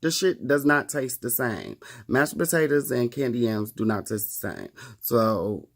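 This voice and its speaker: Man in his 30s, vlogger, gravelly voice, slow unhurried talk, wry and profane. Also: medium pitch, animated. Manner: chatty, profane and wry, with laughs. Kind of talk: casual chat to camera.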